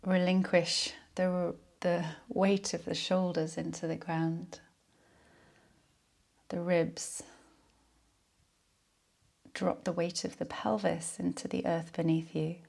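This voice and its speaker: A woman leading a guided yoga nidra relaxation in a soothing, unhurried, soft-spoken way. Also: on the low side, flat delivery, gravelly.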